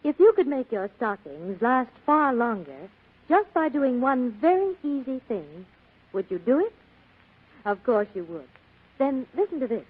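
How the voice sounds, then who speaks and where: An American woman delivers scripted advertising copy in 1940s radio style. Her voice is high and very varied in pitch. The sound is tinny and narrow, like an old broadcast. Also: very animated, unhurried, slightly rough. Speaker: commercial announcer.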